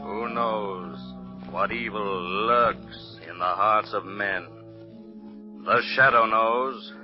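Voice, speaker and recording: An actor playing a menacing, mysterious crime-fighter in a 1930s radio drama, theatrical and drawn out. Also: male voice, fairly low pitch, slow. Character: sinister, menacing male crime-fighter of the shadows. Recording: dull old radio recording, loud organ.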